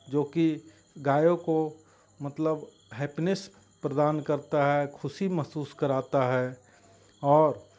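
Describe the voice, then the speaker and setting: A man narrates a farming how-to at a slow pace. His voice sits a little high, and he speaks haltingly in short, separated phrases. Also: a little mellow. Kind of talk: instructional voice-over narration.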